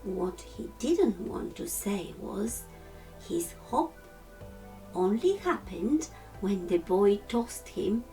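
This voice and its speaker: A woman reading a children's storybook expressively, measured, with hushed phrase endings. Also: mid-range voice, big pitch swings, far from the mic, faint music behind, poor audio. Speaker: storytime reader.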